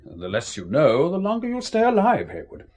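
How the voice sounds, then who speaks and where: A cold, ruthless intelligence chief in a spy radio play. The voice is husky and swings very widely in pitch, suave and patronising, leaning hard on key words. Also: male voice, wildly swooping pitch, normal speed, darkish tone. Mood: brisk, patronising. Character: cold, calculating, ruthless male spymaster. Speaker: actor in a spy radio drama. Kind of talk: radio drama acting.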